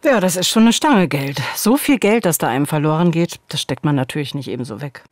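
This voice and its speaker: A woman's voice: low-pitched, animated, fairly quick, no echo. A woman in her forties co-presenting a true-crime case in conversational, scripted narration on a studio microphone. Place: indoor radio studio. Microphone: studio boom microphone.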